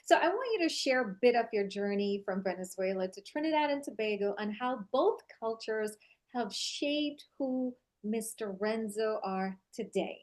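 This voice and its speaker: A woman's voice: on the high side, expressive, moderate pace. A woman hosting a motivational podcast, introducing and interviewing her guest in a conversational way.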